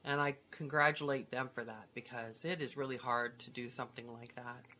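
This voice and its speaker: A woman's voice: very deep voice, steady tone, fairly quick, bright, forceful tone, a little hiss or hum. A woman co-hosting a casual, unscripted chat as a drama instructor. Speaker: host, drama school instructor, co-producer.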